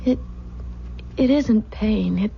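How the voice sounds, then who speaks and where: An actress playing a tender, weary, secretly fearful young wife in an old-time radio drama, speaking softly and hesitantly. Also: female voice, medium pitch, slow, creaky. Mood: weary, guarded. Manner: hesitant, guarded, soft-spoken. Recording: muffled, noisy vintage radio recording.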